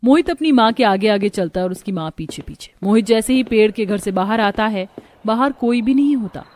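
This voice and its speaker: Female narrator, average pitch, scripted storytelling read for a tale. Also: lively intonation, fairly quick, a little mellow.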